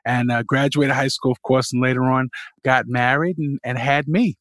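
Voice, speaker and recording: A man's voice: medium pitch, steady tone, normal speed, fairly bright tone, no room sound, clean studio sound. A middle-aged man from Queens, a college president telling his life story as a guest in a podcast interview, earnest and reflective, with fillers and restarts. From Queens, New York.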